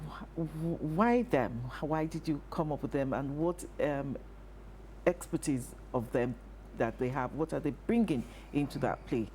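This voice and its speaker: A woman's voice: low-pitched, unhurried, dark tone. A woman with a Nigerian accent, hosting a conversational interview and putting questions to a guest. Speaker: talk show host. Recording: background noise.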